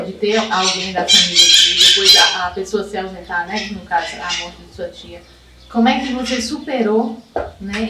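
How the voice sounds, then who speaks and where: A Brazilian woman asking questions as a podcast co-interviewer, conversational and halting, with frequent tag questions. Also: medium pitch. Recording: echoey, distant mic, very loud noise.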